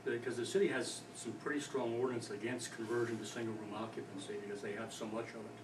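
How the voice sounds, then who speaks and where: A man's voice: mid-range voice, little pitch movement, quick pace. A man acting as an official at a meeting, questioning and advising in a direct, cautioning way. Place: indoor public meeting room. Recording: distant, echoey, noisy meeting room recording.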